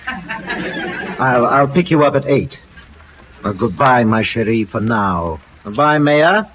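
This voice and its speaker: An actor playing a suave, flattering celebrity romancer with florid, ardent delivery in a 1940s radio drama. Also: male voice, medium pitch, slow pace, a little hiss or hum. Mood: smug, courtly. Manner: florid, ardent, smooth-talking. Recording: dull old radio recording with music.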